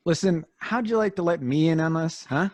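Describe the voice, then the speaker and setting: An actor playing a trigger-happy, hot-headed, slangy gangster sidekick in an old time radio crime drama. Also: male voice, fairly high pitch, normal speed, darkish tone, no room sound, lightly acted. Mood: defensive, agitated. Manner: blustering, slangy, tough-guy.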